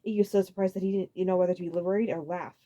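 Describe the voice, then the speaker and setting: A woman reading a story aloud as a dramatic narrator, expressive, occasionally stumbling over a phrase. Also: on the low side, racing. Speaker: audiobook narrator.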